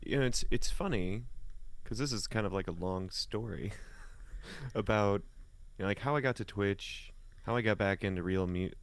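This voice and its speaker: A man from the United States talking casually as a guest, telling anecdotes in a laid-back, colloquial way with pauses mid-thought. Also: lowish voice, clean tone. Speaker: guest musician and voice talent. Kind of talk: casual chat, personal anecdotes.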